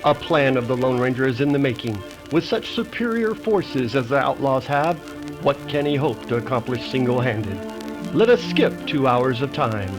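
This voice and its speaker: A man delivers scripted narration in vintage radio drama style. His voice is mid-pitched and his delivery formal and dramatic, with music clearly playing behind. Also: big pitch swings, normal speed, poor audio.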